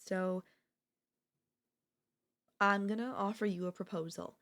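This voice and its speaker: A woman playing an obsessive, possessive sister-in-law in an intimate ASMR audio roleplay, coolly confessing her love with deliberate pauses. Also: medium pitch, fairly even intonation, fairly bright tone. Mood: tender, possessive. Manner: slow, deliberate, with long pauses.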